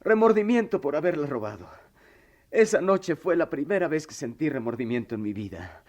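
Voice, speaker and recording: A man's voice: on the high side, sing-song, normal speed, a little mellow. A man acting a remorseful young student and devoted son in a radio drama, heartfelt and dramatic. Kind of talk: radio drama acting and first-person narration.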